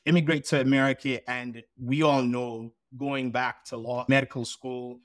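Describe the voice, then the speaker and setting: A man's voice: medium pitch, flat delivery, normal speed, dry recording, studio quality. A man co-hosting a podcast, speaking in a rambling, conversational way as he builds up long questions. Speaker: podcast co-host. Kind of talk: podcast interview.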